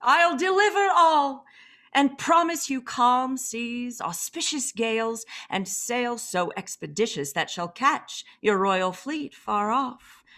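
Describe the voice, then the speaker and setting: Slow, stately Prospero played by a woman. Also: on the high side, expressive, pushed and bright, heavily acted. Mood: stately, gracious. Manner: measured, formal, theatrical. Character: Prospero, commanding, weary exiled duke and magician. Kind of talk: radio play, Shakespearean verse acting.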